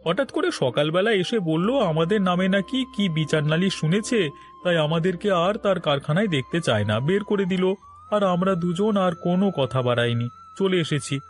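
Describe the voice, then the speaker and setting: A man's voice: high-pitched, lively intonation, brisk, slight background noise. A man voicing a humble married neighbour in a moral-story cartoon, with a deferential, pleading manner. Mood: pleading, aggrieved.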